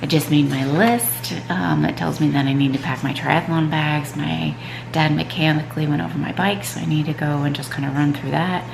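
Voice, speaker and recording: Low gravelly-voiced woman with a stuffy nose. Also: steady tone, moderate pace, echoey room, noisy background. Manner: rambling, frequent "um" and "like". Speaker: vlogger, mom and triathlete. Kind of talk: casual chatty vlog. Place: at home in the morning. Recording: clear background music under voice.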